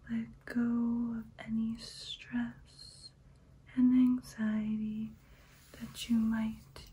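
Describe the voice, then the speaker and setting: A woman playing a soothing female vampire in an ASMR roleplay, whispering breathily in a slow, lulling way. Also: a little high, warm tone, slight background noise.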